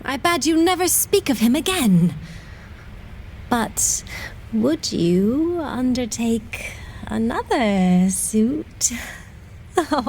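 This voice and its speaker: A woman playing a proud noble lady in love in a Shakespeare audio play, speaking in a measured, aristocratic manner. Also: a little high, darkish tone, a little hiss or hum. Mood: yearning.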